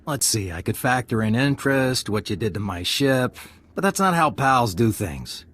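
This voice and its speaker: A man's voice: mid-range voice, big pitch swings, moderate pace, a bit bright. A man playing a sleazy, wheedling smuggler in a video game, falsely chummy and oily in manner. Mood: menacing, sly.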